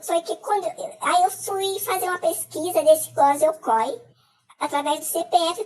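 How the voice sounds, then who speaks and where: A middle-aged Brazilian woman with a Brazilian Portuguese accent gives a personal testimony as a scam victim, talking haltingly with many filler hesitations. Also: high-pitched, far from the mic.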